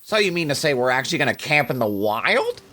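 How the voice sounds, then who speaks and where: A man's voice: medium pitch, big pitch swings, fairly quick, pushed and bright. A man playing a fussy, skeptical, anxious space traveler in an audio drama, whiny and easily flustered. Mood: flustered, hesitant.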